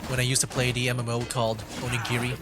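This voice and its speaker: A man's voice: medium pitch, completely flat delivery, rapid-fire. A man giving casual, rambling gameplay commentary as a streamer, thinking aloud as he plays. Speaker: game streamer. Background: game music.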